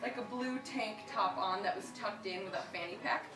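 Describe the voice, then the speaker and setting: A woman's voice: mid-range voice, moderate pace, reverberant, distant mic, a crowd in the background. A young woman from Michigan telling a personal story in a chatty, giggly way, with many fillers and bursts of laughter.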